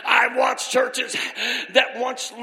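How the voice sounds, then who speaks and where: A man's voice: very high voice, completely flat delivery, very slow, gravelly, a crowd in the background. A man preaching a revival sermon, punctuating his phrases with "uh" and "amen.". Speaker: Pentecostal Holiness preacher. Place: large indoor church service. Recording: echoey church hall, long reverb.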